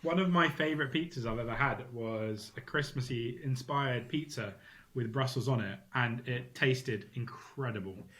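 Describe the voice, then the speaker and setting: A man co-hosting a relaxed podcast, talking in an easygoing way with wry, deadpan humor. His voice sits at an ordinary middle pitch. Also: moderate pace. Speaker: podcast co-host. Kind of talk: casual banter podcast.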